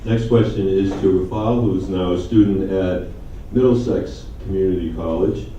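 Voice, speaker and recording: A man moderating a panel discussion, reading out questions in a measured way. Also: sits low, fairly even intonation, noisy background. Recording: echoey hall, distant mic, muffled.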